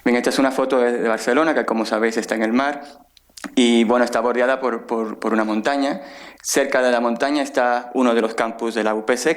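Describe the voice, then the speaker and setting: A man from the Dominican Republic giving an academic lecture as a guest professor, explaining things in an informal, conversational way. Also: mid-range voice.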